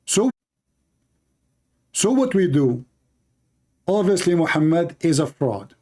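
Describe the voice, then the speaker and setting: A man's voice: on the high side, big pitch swings, slow, mellow. A man whose first language is Arabic, hosting a polemical religious commentary in emphatic, repetitive English. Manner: emphatic, repetitive, non-native phrasing. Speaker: religious debate host.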